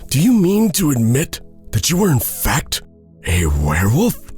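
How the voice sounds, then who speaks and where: A man's voice: medium pitch, wildly swooping pitch, a little slow, a little mellow, faint music behind, pitched above the performer's own voice. A man voicing a stern, zealous village pastor who intones formally and commands as judge in a storybook tale. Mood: stern, accusing.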